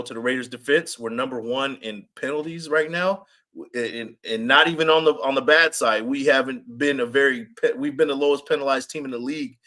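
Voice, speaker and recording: A man with a raspy voice hosting a sports fan podcast, chatting casually and repeating himself for emphasis. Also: fairly high pitch. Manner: animated, repeats himself, reads chat comments aloud. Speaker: podcast host. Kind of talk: casual live-stream chat.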